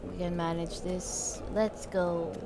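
A woman's voice: on the low side, level tone, slow pace, vocal fry, noisy background. A woman giving casual, chatty commentary as she plays a video game, thinking aloud and often trailing off mid-thought. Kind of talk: casual let's-play commentary. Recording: game music behind the voice. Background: video game music.

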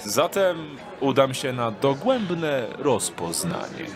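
A sly, mock-courteous street thief played by a man in a fantasy audio drama. His intonation swings dramatically and he talks slowly and theatrically, with soft music underneath. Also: mid-range voice, very animated. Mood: dry, guarded.

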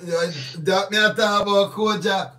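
A middle-aged Jamaican man chatting casually as a music interviewer, animated and often repeating himself. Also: sits high, moderate pace. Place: small home studio with foam walls. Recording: roomy, distant microphone.

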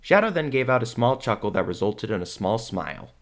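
A man's voice: fairly low pitch, very animated, a little fast. A man giving scripted storytelling narration for an audio drama.

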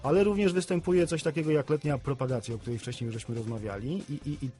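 Man, mellow relaxed timbre, radio guest, explanatory, repeats words, faint music behind. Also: on the high side, quick pace. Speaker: CB radio specialist, studio guest. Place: radio studio.

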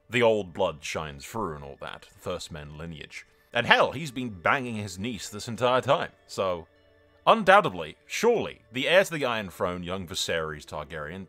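Guy doing sweary gaming commentary, bright forceful voice, music behind him, kinda mock-epic. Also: mid-range voice. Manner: mock-grand announcer phrasing, emphatic repetition. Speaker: gaming video creator. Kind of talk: casual, sweary let's play commentary with storytelling.

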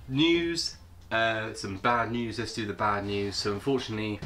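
A man with a cold, sounding hoarse, hosting his vlog in a chatty, hesitant way. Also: on the low side, normal speed, fairly bright tone, soft background music. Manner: chatty, hesitant with many fillers. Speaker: channel host. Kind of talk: casual vlog intro.

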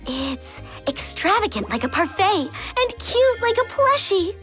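A woman's voice: very high-pitched, big pitch swings, measured pace, fairly bright tone, heavily acted. A woman voicing a bubbly, fashion-loving teenage girl in a game cutscene, gushing and breezy. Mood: bubbly, upbeat. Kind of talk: animated game cutscene acting. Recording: music under the voice.

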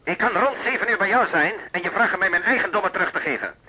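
An actor playing a menacing, taunting blackmailer in a radio play, heard through a telephone filter. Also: male voice, sits high, animated, fast talker, sharp tone, breathy edge, exaggerated voice. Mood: mocking menace. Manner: taunting, threatening. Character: menacing, mocking blackmailer, an old nazi. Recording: band-limited telephone line sound.

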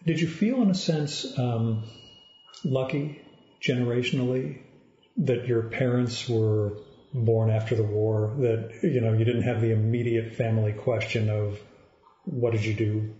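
A male podcast host asks long, winding questions, circling back and rephrasing before he gets to the point. His voice is mellow and mid-pitched. The sound is roomy and somewhat muffled. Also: steady tone, a little slow. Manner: probing, meandering questions. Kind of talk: interview questions. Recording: roomy, slightly dull lo-fi sound.

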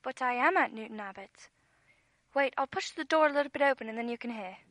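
A woman's voice: on the high side, lively intonation, fast, fairly bright tone. A woman acting an eerie, coaxing, insistent caller in a radio play.

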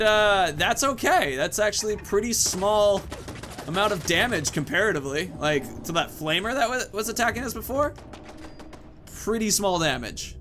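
A man's voice: sits high, pushed and bright, a little hiss or hum. A man in his thirties giving casual let's-play commentary, rambling informally as he thinks aloud into a headset. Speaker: gaming video host.

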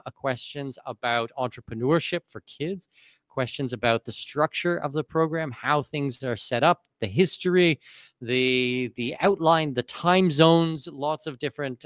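A man's voice: mid-range voice, a bit bright, dry recording. Man hosting a live webinar, dry studio sound. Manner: reads out viewer questions, answers at length. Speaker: host. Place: dim home studio. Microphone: studio mic on boom arm.

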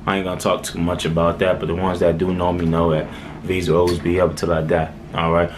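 Young man, Haitian accent, very deep voice, flat even delivery, relaxed rambling vlog talk, close camera mic. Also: a little fast, background noise. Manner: laid-back, rambling, many "um"s and "all right"s. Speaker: vlogger. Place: small room indoors. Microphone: camera close in front.

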